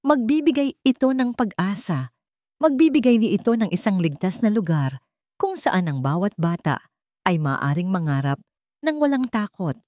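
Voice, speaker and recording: A woman's voice: mid-range voice, animated, normal speed, darkish tone, no room sound, natural voice. A woman voicing a confident, idealistic young wife and teacher in an audio story, earnest and firm. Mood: confident, hopeful.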